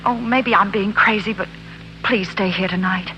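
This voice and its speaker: An actress playing an anxious, high-strung wife in 1940s radio drama style. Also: female voice, normal speed, pushed and bright, muffled recording, faint music behind, close to an everyday voice. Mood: anxious, pleading. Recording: noisy, humming 1940s radio recording.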